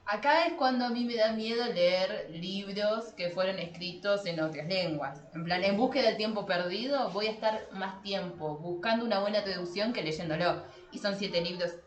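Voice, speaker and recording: A woman's voice: mid-range voice, normal speed, hardly any pauses. A woman with an Argentine Spanish accent, co-hosting a podcast in casual, conversational discussion. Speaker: podcast co-host. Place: small cramped room below a staircase. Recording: roomy echo of a small room.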